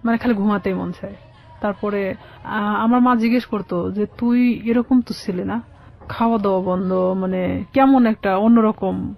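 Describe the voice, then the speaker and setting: A Bangladeshi woman giving personal testimony as an interview guest, in a halting, plain-spoken way. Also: medium pitch, gravelly. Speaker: guest telling her life story. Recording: dull, muffled lo-fi sound.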